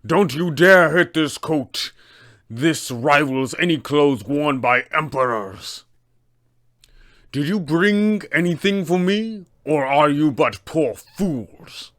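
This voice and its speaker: A man voicing a vain, greedy, haughty pirate captain in a tabletop adventure, with grandiose, drawn-out delivery. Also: on the high side, expressive, a bit bright, no room sound, studio quality. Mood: haughty, menacing.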